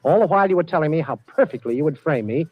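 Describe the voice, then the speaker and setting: An actor playing a cool, wisecracking amateur sleuth in a 1940s radio drama. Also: male voice, fairly high pitch, lively intonation, racing. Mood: confident. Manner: wry, unflappable. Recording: muffled old radio drama recording.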